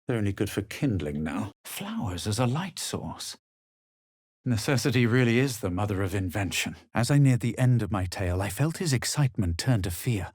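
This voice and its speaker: A man voicing a self-assured adventurer hero in a fantasy video game, with a husky voice and a measured, slightly superior, dramatic delivery. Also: lowish voice, sing-song, darkish tone, no room sound, clean studio sound. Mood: wary, musing, then grave narration. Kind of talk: video game voice acting, barks and past-tense narration.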